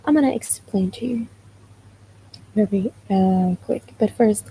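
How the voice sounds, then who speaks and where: Slow, gravelly-voiced Palestinian woman. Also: medium pitch, mellow, a little hiss or hum, rough recording. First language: Arabic. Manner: explanatory, often asks "you know what I mean?". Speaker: livestreamer. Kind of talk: casual live stream answering chat.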